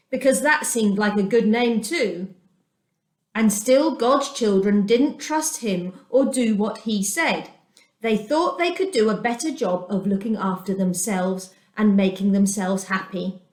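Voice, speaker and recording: Animated woman reading a storybook. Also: a little high, echoey room. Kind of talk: children's Bible story reading.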